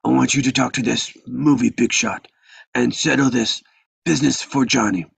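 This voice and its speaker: An actor playing a stern, commanding old mafia patriarch with a gravelly, husky voice in a script table read. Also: male voice, mid-range voice, fairly even intonation, moderate pace, no room sound. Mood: businesslike.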